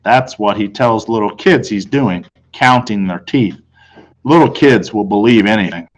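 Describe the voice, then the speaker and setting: An American man reading a children's novel aloud as a teacher, with expressive storytelling. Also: on the low side, unhurried, low-quality recording. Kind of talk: audiobook-style read-aloud of children's novel, first person.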